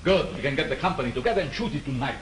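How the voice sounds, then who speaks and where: An actor playing a calm, persuasive studio executive in an old 1930s film recording. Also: male voice, medium pitch, racing, lightly acted. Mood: reasoning. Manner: soothing, persuasive. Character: calm studio executive, middle-aged man. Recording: scratchy 1930s film soundtrack.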